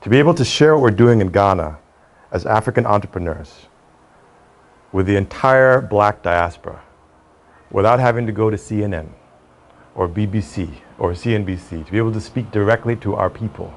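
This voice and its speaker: Low-voiced middle-aged American man. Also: fairly quick, gravelly. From Cleveland, United States. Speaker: real estate executive being interviewed. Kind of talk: conversational interview.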